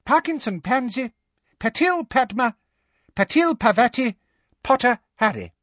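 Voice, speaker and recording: A man voicing a tiny male schoolteacher with a squeaky, formal, brisk delivery in an audiobook. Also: lively intonation, a bit bright, no echo, lo-fi sound, exaggerated voice.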